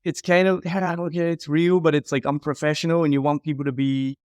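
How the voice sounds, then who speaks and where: A man's voice: a little high, little pitch movement, a little fast, a little mellow, smooth, no room sound, clean studio sound. A man giving frank, emphatic advice in a conversational critique, like an audio consultant reviewing someone's work.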